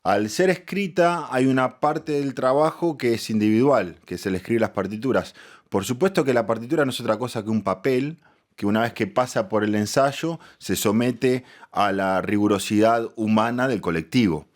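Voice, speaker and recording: A man, a musician interviewed as a guest, explaining in measured, articulate, rather formal wording. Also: mid-range voice. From Argentina.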